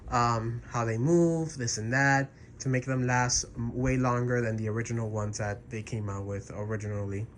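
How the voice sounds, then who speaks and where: A slightly hoarse man, half sick, casually reviewing a prop in a bedroom with frequent throat clearing and restarts. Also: mid-range voice, a bit bright, a little hiss or hum.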